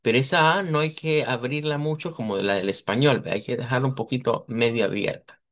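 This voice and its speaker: A man, lecturing in a live online language class. His voice sits at an everyday middle pitch. He talks patiently, spells out sounds slowly and keeps asking his students whether they follow. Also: fairly bright tone. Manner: explanatory, repeats and models sounds slowly; checks in with students, asks "verdad". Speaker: language teacher.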